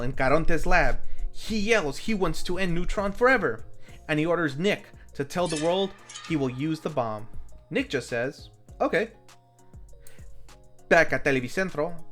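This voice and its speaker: A man's voice: on the high side, fairly bright tone. A man hosting a film review, reading a scripted plot recap and giving the dialogue dramatic emphasis.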